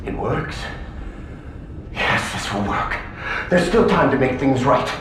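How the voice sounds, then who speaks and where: An actor playing an anxious, obsessive scientist in a horror game, intense and urgent, desperate for redemption. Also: male voice, mid-range voice, animated, slow pace, noisy background. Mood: hushed hope. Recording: very echoey, voice off the mic.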